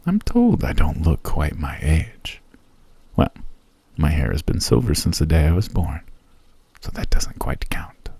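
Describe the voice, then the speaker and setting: A man voicing a courteous, centuries-old male elf who hosts a traveler in an ASMR roleplay, speaking in a gracious, formal, soft manner. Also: very deep voice, wildly swooping pitch, a little slow, vocal fry, slightly rough, no echo, heavily acted. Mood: friendly. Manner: gracious, formal and softly spoken. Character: ancient male elf host, courteous and genteel.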